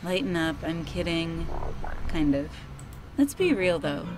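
A woman playing a blunt, cynical young woman in a visual novel game, sardonic and deadpan. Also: deep voice, a little slow, background noise. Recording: music under the voice.

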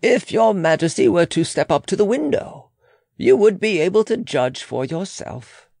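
A man's voice: high-pitched, very animated, moderate pace, gravelly, a little mellow, husky, dry recording, clean studio sound, pitched above the performer's own voice, exaggerated voice. A man voicing a formal, deferential but insistent lawyer and court official in a dramatic audiobook. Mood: urgent. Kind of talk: dramatic audiobook character reading.

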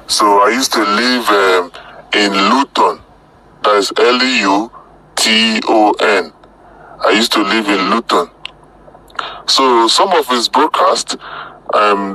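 A man from southern Nigeria with a Nigerian accent, recounting a personal experience in casual, halting short phrases that often repeat. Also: lowish voice, low-quality recording. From Delta State, Nigeria. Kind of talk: casual spoken testimony.

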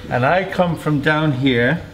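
A man's voice: fairly high pitch, rough recording. An Irish man, an actor, cheerfully introducing himself to his cast in a rehearsal studio. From Ireland, near Cork. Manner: slow, unhurried and cheerful. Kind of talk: casual group introduction.

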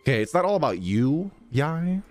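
A man's voice: on the high side, very animated, moderate pace. A man in his thirties giving casual live reaction commentary on a game stream, slangy and playful. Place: indoor streaming room. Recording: game music behind the voice.

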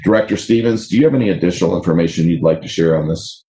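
Deep mellow guy on a video call, formally reading out ordinances as committee chair. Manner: reads legislation aloud in a measured, formal way. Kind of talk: formal council meeting proceedings. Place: home room on a video call.

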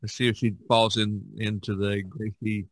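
A man hosting a podcast, talking casually in a halting, meandering way. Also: on the low side, level tone, no room sound. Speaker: podcast host.

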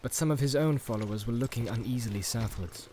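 A male audiobook narrator tells a fantasy story in a dramatic, scripted style. His voice sits at a middle pitch. A faint ambient soundscape runs behind him. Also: quick pace, noisy background.

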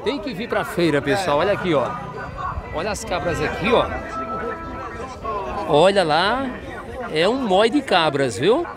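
A man's voice: sits high, very animated, unhurried, long pauses, over music. A man walking an outdoor livestock fair, chatting with sellers and repeating prices to viewers. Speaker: market reporter host. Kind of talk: walking market vlog, interviewing sellers. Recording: busy outdoor market crowd. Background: crowd chatter and animals.

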